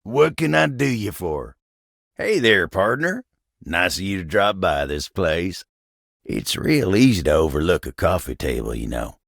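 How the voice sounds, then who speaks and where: An actor playing a folksy, humble, hardworking cowboy coffee table in a video game, with a gravelly American Southern drawl and an easygoing, genial manner. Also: male voice, low voice, big pitch swings, unhurried, a bit bright, dry recording, studio quality, exaggerated voice. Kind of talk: video game character dialogue.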